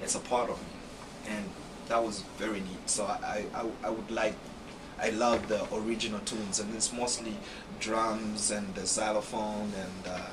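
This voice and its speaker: A man from Zambia, answering interview questions in a lively way with many repeated "you know" phrases. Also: lowish voice, a little slow. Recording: distant mic with background noise.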